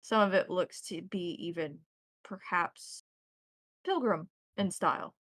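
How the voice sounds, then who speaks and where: A woman game master narrating scenes descriptively and deliberately in a tabletop roleplaying game. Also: lowish voice, fairly bright tone, dry recording, clean studio sound.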